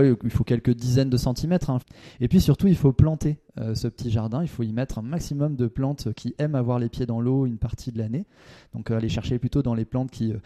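Dark-voiced man giving advice. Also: medium pitch. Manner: advising, instructive. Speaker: expert guest. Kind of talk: explanatory interview answers.

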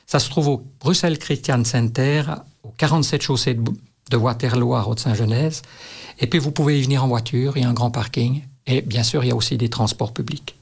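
Earnest male radio guest, mid-pitched, inviting tone. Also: a little fast, creaky, breathy edge. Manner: earnest, promotional. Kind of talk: radio interview.

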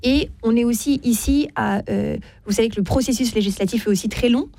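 A woman speaking off the cuff as a radio debate guest, explaining in a measured, somewhat hesitant way. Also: on the high side, gravelly, mellow, dry recording. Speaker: debate guest, philosophy teacher.

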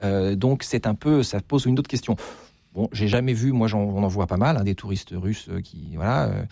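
A man speaking as a guest novelist, haltingly repeating words as he searches for phrasing. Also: on the low side, creaky, warm tone, no room sound. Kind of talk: unscripted interview discussion.

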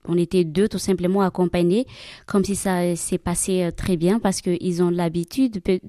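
Woman from madagascar, not her first language, lots of ums, mellow dry sound. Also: fairly low pitch, fairly even intonation, dry recording. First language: Malagasy. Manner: hesitant, many pauses and fillers. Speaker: religious sister and catechist. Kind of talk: interview answers.

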